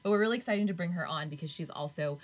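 A woman's voice: deep voice, racing. A young woman co-hosting a podcast, chatting casually with frequent laughs and lots of "like". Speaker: podcast co-host. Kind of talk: casual chat.

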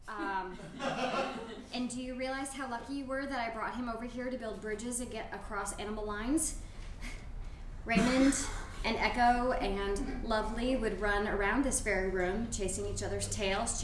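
A woman acting needy lonely dog walker, high voice, stammering, rambling, over-earnest, comic stage reading, noisy room. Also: close to an everyday voice. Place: live event in a roomy space. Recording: echoey room, clearly noisy live recording.

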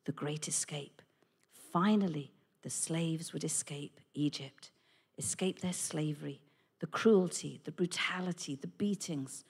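A woman with a husky voice, telling a Bible story in a dramatic, unhurried way as the regular host of a series. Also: on the low side, darkish tone. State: husky this morning.

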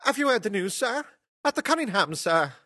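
A man's voice: high-pitched, sing-song, brisk, sharp tone, dry recording, studio quality, pitched above the performer's own voice, exaggerated voice. A man doing a flustered, deferential butler. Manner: urgent, deferential.

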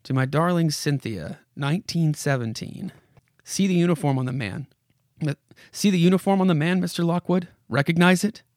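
A man's voice: a little high, moderate pace, no room sound, pitched above the performer's own voice, lightly acted. A man puts on the voice of a haunted old house from a horror comic. It speaks straight to its victim with dramatic urgency, insistent and commanding. The sound is dry, with hardly any room around it. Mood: urgent, hinting. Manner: dramatic, commanding second-person address with repeated imperatives. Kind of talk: horror comic read aloud.

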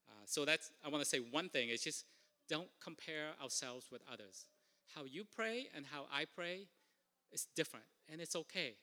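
A man's voice: fairly high pitch, sharp tone. A man with a Vietnamese accent preaching a sermon as pastor, earnest, often repeating and restarting his words. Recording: long reverb of a large hall.

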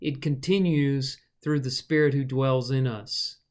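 A man's voice: mid-range voice, fairly even intonation, a little slow, a little mellow. A man co-hosting a casual Bible discussion, thoughtfully explaining scripture with a touch of self-deprecating humor. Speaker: Bible study co-host.

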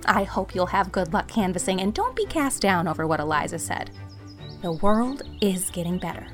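A woman's voice: medium pitch, very animated, unhurried, vocal fry, a bit bright, soft background music, heavily acted. A woman voicing a timid but cheerful older spinster, mild, earnest and hopeful, in an audiobook story. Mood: eager, hopeful. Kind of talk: audiobook character dialogue.

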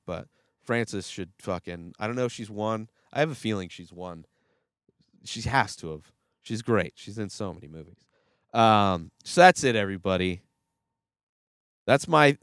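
Man, stuffy nose, nasal, rambling casual movie talk, smooth clear voice, dry close sound. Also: medium pitch, dry recording. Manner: rambling, profane, with many fillers and restarts. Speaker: movie review podcast host. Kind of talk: casual movie review podcast.

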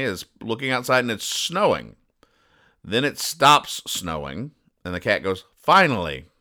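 A man hosting a podcast, explaining jokes in a mock-serious, deadpan and over-explaining way. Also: lowish voice. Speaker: podcast host.

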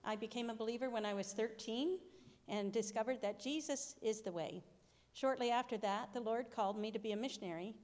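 Higher-voiced American woman in an echoing hall. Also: brisk, darkish tone. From New Jersey, United States. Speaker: missionary. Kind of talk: prepared testimony to a congregation. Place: church hall with long reverb. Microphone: handheld microphone. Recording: reverberant hall.

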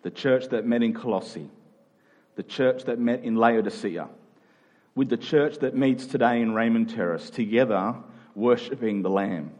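Male preacher, Australian accent, medium pitch, measured and deliberate church delivery. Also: a little mellow. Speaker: pastor. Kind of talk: sermon with scripture reading and prayer.

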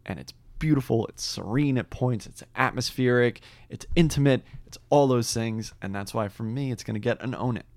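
A man's voice: medium pitch, normal speed, hardly any pauses, darkish tone, dry recording. A man co-hosting a podcast, reviewing an album in casual chat and repeating words for emphasis.

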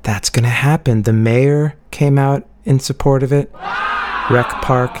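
A man's voice: medium pitch, fairly even intonation, unhurried, a crowd in the background, noisy background. A man hosting a podcast intro, casual and rambling, correcting himself as he goes, with his voice worn out from talking. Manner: rambling, self-correcting, chatty. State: losing his voice. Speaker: podcast host. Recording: music playing underneath.

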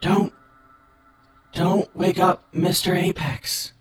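A secret agent hisses out a loud, urgent whisper, as a game master puts him on. The voice sits high and drawls each word slowly. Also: male voice, steady tone, loud music behind the voice.

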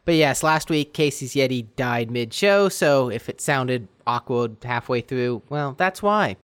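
A man hosting a casual podcast, talking quietly and laughing often. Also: mid-range voice, measured pace, smooth, dry recording. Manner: laughs often, animated and self-mocking. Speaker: podcast host.

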